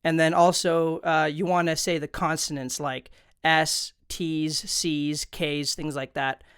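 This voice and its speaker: A man's voice: on the high side, level tone, takes it slowly, fairly bright tone, smooth, no echo. A man hosting an instructional video, explaining things in an informal, conversational way into a close studio microphone. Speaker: tutorial host. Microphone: close studio condenser microphone.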